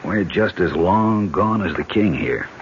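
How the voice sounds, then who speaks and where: A man's voice: sits low, a little slow. An actor with an American accent playing a hard-bitten, cool-headed male government agent held hostage in a 1970s radio drama. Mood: terse, grim. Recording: muffled, noisy old radio broadcast recording.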